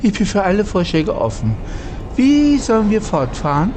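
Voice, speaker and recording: A man's voice: sits high, very animated, normal speed. A man hosting a gameplay video, reading out game dialogue and adding casual comments over game sound. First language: German. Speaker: gameplay host. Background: faint game music.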